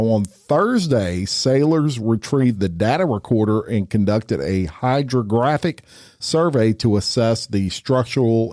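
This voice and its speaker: A man's voice: mid-range voice, darkish tone, no room sound. Man, podcast co-host, folksy, dry close sound. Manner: folksy, drawling, says "y'all". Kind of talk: casual crime news chat. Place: podcast studio.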